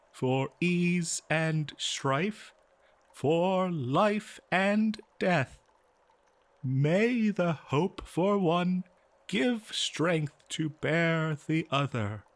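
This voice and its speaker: A man playing an eager, kindly scholar in an audio roleplay, speaking politely and haltingly to a listener. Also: on the high side. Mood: solemn. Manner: halting, flustered, polite, exclaiming. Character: eager, scholarly, kindly male researcher of a lost species. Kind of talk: scripted audio roleplay, one-sided dialogue.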